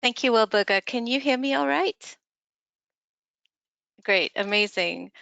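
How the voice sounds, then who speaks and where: Smooth, upbeat woman presenter from Malaysia, dry muffled call audio. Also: on the high side, animated, a little slow, bright, forceful tone, no echo. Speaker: head of communications. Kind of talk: webinar presentation. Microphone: video call.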